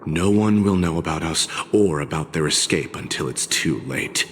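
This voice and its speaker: An actor playing a grim, pragmatic soldier in a video game, speaking in a measured, grave and blunt way. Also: male voice, very deep voice, a little hiss or hum. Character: grim, pragmatic soldier facing death, stoic man. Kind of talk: video game cutscene acting. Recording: loud music behind the voice.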